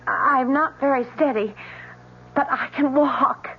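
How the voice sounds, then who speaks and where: A sweet young woman full of earnest wonder in an old radio drama. Her voice is high. The recording is dull and faintly noisy. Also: measured pace, gravelly. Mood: joyful, earnest.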